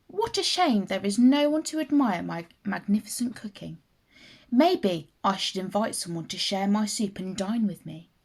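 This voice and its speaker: A woman's voice: mid-range voice, lively intonation, moderate pace, a little mellow, husky. A woman voicing a sly, greedy, self-satisfied male fox in a children's fable, mock-polite and relishing every word. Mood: gloating.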